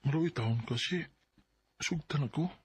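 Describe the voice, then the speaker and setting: A man's voice: on the high side, a little slow, breathy edge. A Filipino man with a Bisaya accent playing an earnest, insecure young suitor in a radio drama, speaking hesitantly and pleadingly.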